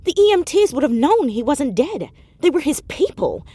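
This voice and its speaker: An actress playing a blunt, wary, skeptical woman with a husky voice in a comedic audio drama. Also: high-pitched, very animated, normal speed, mellow, no room sound. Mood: realizing.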